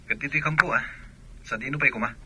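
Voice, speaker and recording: A man's voice: medium pitch, fast, sharp tone, husky, full character voice, low-quality recording. A man playing an evasive soldier husband in a comic radio drama, reassuring and dodging questions.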